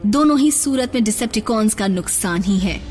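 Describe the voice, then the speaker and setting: A woman's voice: mid-range voice, lively intonation, brisk, a little mellow, natural voice. A woman voicing a cunning female robot Decepticon commander in a cartoon dub, speaking in a cold, commanding, scheming manner. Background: music.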